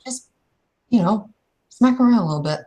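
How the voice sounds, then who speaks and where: A woman playing a stubborn member of a werewolf clan in improvised roleplay, pushing back in a halting, contrary way. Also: on the high side, animated, dark tone, husky. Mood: challenging. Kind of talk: improvised in-character roleplay dialogue.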